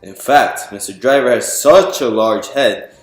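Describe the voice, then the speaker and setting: A man with a mid-pitched voice presents a picture book aloud in a reverberant room. He explains things step by step and addresses his listeners directly, as at a storytime. Music is heard along with his reading. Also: measured pace. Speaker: author reading own picture book to an audience. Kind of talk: storytime read-aloud to an audience.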